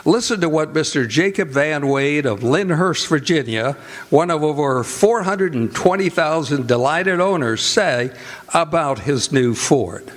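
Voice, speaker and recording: A man announcing a live radio theatre show in a formal, deliberate old-time broadcast style. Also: on the high side, animated. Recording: live stage with music and audience. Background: music and audience noise.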